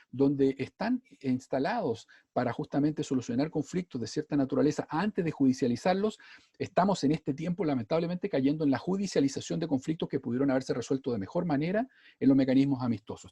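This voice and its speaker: Fast-talking Chilean man, dark dry voice. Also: mid-range voice, breathy edge, no room sound. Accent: Chilean Spanish. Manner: rapid and animated, with many "eh" fillers. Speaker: panelist at a justice seminar. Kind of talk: fast-paced seminar talk.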